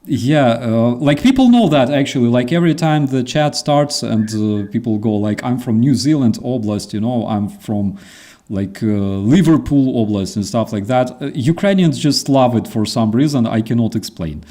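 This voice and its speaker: A man's voice: mid-range voice, lively intonation, moderate pace, dark tone. A man hosting a casual chat, talking animatedly and acting out quoted phrases with plenty of fillers. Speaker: host.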